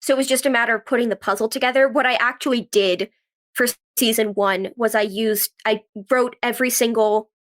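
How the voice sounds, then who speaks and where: Female podcast guest, slightly high voice, informal and reflective, conversational delivery. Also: fairly even intonation, a little fast. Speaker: podcast guest, writer and actress. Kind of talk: casual interview conversation.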